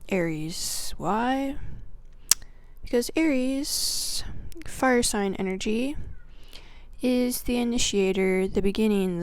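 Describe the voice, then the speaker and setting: A woman teaching the zodiac signs in a spiritual video that opens with a prayer. She speaks reverently, draws her phrases out, drops into soft whispers and lists qualities one word at a time. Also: medium pitch, no room sound. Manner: slow, drawn-out delivery with soft whispered phrases. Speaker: astrology teacher.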